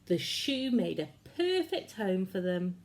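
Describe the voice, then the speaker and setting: A woman reading a children's story aloud in an expressive, measured way. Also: medium pitch.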